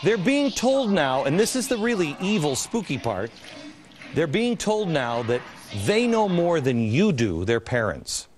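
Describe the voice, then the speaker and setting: Male TV host, 40s, sarcastic, indignant, lively pitch, studio. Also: unhurried, noisy background. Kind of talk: opinion TV commentary. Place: large TV studio set. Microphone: studio mic, standing at camera.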